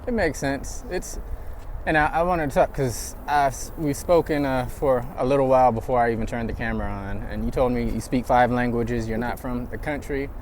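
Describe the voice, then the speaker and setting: A man hosts a casual street interview on a trail outside, relaxed and easygoing as he asks his questions. His voice sits at a middle pitch. Faint traffic hums in the background. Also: normal speed. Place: outdoors on a trail.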